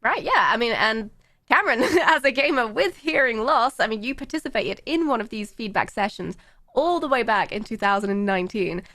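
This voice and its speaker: Quick, upbeat woman host. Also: fairly high pitch, animated, pushed and bright, no room sound. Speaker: show host. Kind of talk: interview hosting.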